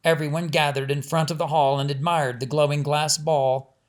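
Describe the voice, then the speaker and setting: A man's voice: fairly high pitch, flat delivery, moderate pace. A man reading a family story aloud as scripted audiobook narration. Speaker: author reading own audiobook.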